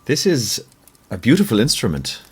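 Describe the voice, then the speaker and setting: A man playing a shy, courteous, gentle professor in a period audio drama, with faint noise and clicks. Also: mid-range voice, wildly swooping pitch, moderate pace, slightly rough, soft background music, full character voice. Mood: modest, polite.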